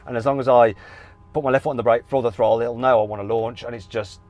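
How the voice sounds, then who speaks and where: A man with a Midlands accent presenting a car show, talking in an excitable, animated way and laughing in disbelief. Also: medium pitch, fast talker, dull audio. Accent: English Midlands. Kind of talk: excitable on-camera commentary.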